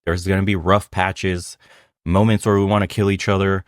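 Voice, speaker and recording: A man's voice: sits low, level tone, normal speed, no room sound. Blunt, scornful man, dry close mic. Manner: crude, scornful, mocking. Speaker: host reacting to clips. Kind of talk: blunt reaction commentary.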